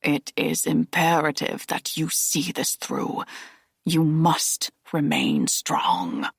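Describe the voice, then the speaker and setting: A woman voicing an ancient male mentor who speaks in a hoarse, insistent whisper. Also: sits low, big pitch swings, slow pace, dry recording, studio quality, heavily acted. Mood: hoarse intensity. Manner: insistent, commanding. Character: ancient male mentor, hoarse, stern, nearly two centuries old.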